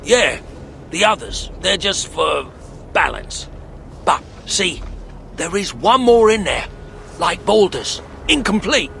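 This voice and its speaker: An actor playing a gruff, folksy tinkerer in a video game, speaking in an earthy, slangy, wry way. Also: male voice, high-pitched, sing-song, takes it slowly, long pauses, fairly bright tone, a little hiss or hum, heavily acted. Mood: matter-of-fact, wry. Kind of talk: colloquial video game character acting.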